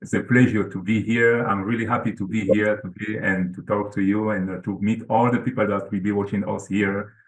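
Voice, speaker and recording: Low-voiced guy from cameroon chatting earnestly, lots of uh and repeats, echoey room. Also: level tone, brisk, few pauses. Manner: earnest, repeats himself, many fillers. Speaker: guest author. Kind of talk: casual interview talk.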